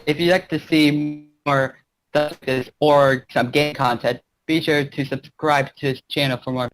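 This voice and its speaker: A man's voice: on the high side, steady tone, unhurried, a bit bright, a little hiss or hum, rough recording. A man hosting and narrating a fan fiction as an amateur reader, casual and occasionally stumbling over words. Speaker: channel host and story narrator.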